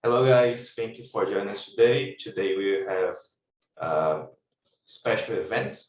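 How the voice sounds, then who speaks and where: Lowish-voiced man hosting a video call. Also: a little slow. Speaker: host of an online session. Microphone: computer mic on a video call. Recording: roomy, distant video-call audio.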